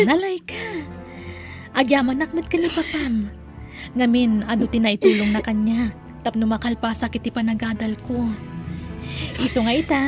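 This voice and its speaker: A woman voicing a grasping married daughter in an Ilocano audio drama, who turns shrill when upset. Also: darkish tone, lightly acted. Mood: kind, reassuring. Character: scheming married daughter wanting the house. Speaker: voice actor.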